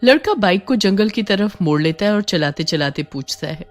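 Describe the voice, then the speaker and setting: A woman's voice: mid-range voice, animated, moderate pace. Casting: female narrator, scripted horror tales, mid-range voice, light music bed.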